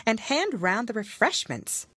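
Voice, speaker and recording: Curious storybook little girl, played by a woman: opinionated, animated pitch, slightly husky. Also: unhurried, no echo, clean studio sound, heavily acted. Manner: curious, indignant.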